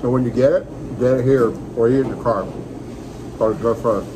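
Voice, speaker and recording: Brief: man in his forties, upbeat radio-host energy, eating-and-talking vlog in a restaurant, steady room hum behind. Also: medium pitch, brisk. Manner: enthusiastic, joking, talks while eating. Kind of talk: casual restaurant review vlog. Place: small restaurant dining room, indoors. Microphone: phone camera on table.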